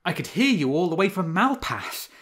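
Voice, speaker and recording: Guy doing a sly smooth-talking fox, fawning and a bit raised and loud, storybook voice. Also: medium pitch, normal speed, lightly acted. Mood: mocking. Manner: fawning, bowing and scraping. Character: sly flattering male fox, Renard.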